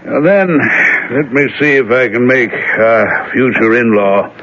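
An older worried reverend. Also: male voice, medium pitch, slight background noise. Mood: earnest. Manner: measured, earnest, gently probing. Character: aging reverend, troubled worried father. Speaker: minister. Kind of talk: 1970s radio drama acting. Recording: muffled old radio broadcast.

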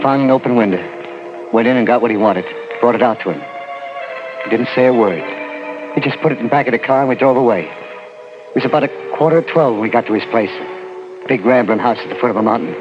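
A man's voice: mid-range voice, over music, natural voice. Man playing haunted carnival worker, muffled old radio drama. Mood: haunted, subdued. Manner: slangy, haunted, halting. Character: frightened working-class carnival man recounting his ordeal. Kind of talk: 1940s radio acting, first-person narration.

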